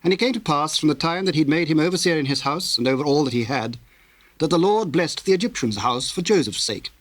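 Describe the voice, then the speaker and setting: A man narrating scripture in a solemn, measured, formal way, reading from a script. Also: a little high, expressive, a bit bright, no echo.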